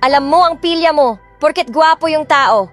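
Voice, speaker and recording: A spoiled, haughty young heiress, as a woman narrator puts her on in an audio drama. Her voice is raised in pitch, and she speaks in a sharp, indignant way. Faint music sits behind her. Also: moderate pace, natural voice. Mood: scolding.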